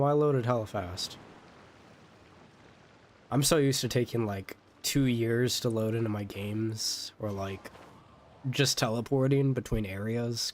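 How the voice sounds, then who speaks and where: Slangy male game streamer. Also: medium pitch. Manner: slangy, rambling. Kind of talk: casual live gaming commentary.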